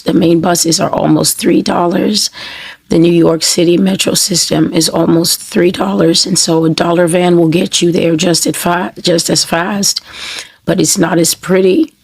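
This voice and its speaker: A woman minister with a hoarse voice she says she has lost, speaking a sermon to camera in slow, measured, repetitive phrases. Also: fairly low pitch, no room sound. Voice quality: raspy, breathy and gravelly. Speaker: prophetic minister. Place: New York City.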